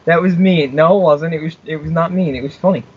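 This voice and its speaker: A young man hosts a small internet radio show and talks off the cuff. His voice sits a little high, and he jokes, rambles, laughs and restarts his sentences. The sound is dull and low-fidelity. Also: fast, breathy edge. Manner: joking, rambling, stumbles over his words and laughs. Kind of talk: casual on-air chat. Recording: muffled lo-fi stream recording.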